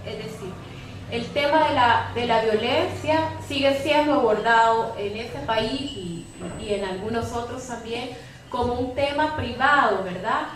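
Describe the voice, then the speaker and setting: A woman's voice: fairly high pitch, a little slow. A woman giving spontaneous, explanatory panel commentary, often closing phrases with a tag question. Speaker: panelist from a women's rights organization. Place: echoey room at a public debate. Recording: very echoey room, distant mic, faint noise.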